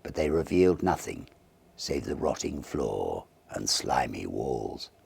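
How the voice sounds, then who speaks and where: Male narrator, very low voice, husky and gravelly, theatrical Victorian ghost story reading, deliberate phrasing. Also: very animated, a little mellow. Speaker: audiobook narrator and reader.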